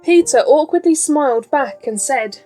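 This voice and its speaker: Female storybook narrator, higher-pitched voice, scripted read for young children with light music underneath. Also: expressive, normal speed, mellow. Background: faint background music.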